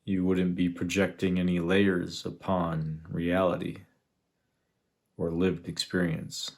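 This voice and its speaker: Very low, gravelly male meditation guide. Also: level tone, darkish tone. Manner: unhurried, long pauses, reflective questions. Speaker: meditation guide, spiritual teacher. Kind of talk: guided meditation, contemplative teaching.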